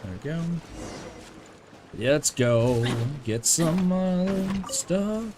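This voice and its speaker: A man's voice: on the high side, expressive, very slow, noisy background. A man doing casual live game commentary as a streamer, slangy and rambling, often talking to himself. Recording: game music behind the voice. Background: game music.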